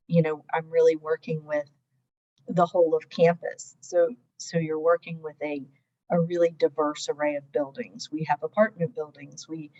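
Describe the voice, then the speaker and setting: A woman's voice: sits low, darkish tone, muffled recording. A woman panelist reflecting thoughtfully on her career path, repeating words as she goes. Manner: thoughtful, restarts words, many ums. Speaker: webinar panelist.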